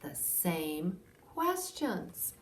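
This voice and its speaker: A woman reading a picture book aloud for children, expressively and with dramatic pauses. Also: on the low side, big pitch swings, slight background noise. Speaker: library storytime reader. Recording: roomy echo, distant microphone.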